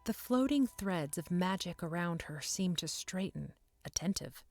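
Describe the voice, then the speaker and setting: Audio drama narrator: woman, slightly low register, descriptive and measured, gentle music bed. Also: lively intonation, dry recording. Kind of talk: scripted fantasy story narration.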